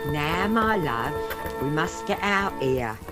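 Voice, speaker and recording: A man playing a deceitful guardian in an old-time radio melodrama, drawn-out and falsely sweet. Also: a little high, sing-song, rough recording. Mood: falsely affectionate. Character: deceitful guardian luring a ward with false affection. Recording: clear music and rain behind.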